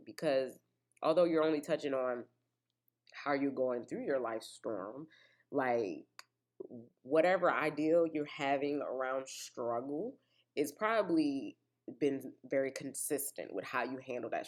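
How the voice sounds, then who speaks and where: A very deep-voiced woman hosts a solo podcast, talking to her listeners in a loose, chatty way. She peppers her talk with asides and fillers, and she still sounds slightly congested from a recent cough. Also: darkish tone. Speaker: podcast host. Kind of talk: casual solo podcast chat.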